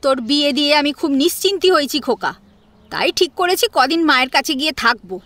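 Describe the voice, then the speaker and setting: Woman acting a sharp, bossy mother-in-law, bright-voiced. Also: high voice, lively intonation, fairly quick, no echo. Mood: brisk. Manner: bossy, pointed. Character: sharp, scheming middle-aged Bengali mother-in-law.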